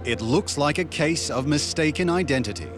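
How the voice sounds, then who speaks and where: A man narrates a documentary in a measured, explanatory tone, his pitch sitting a little high and swinging widely as he walks through each scene. He reads from a script over a steady bed of background music. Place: voice-over studio.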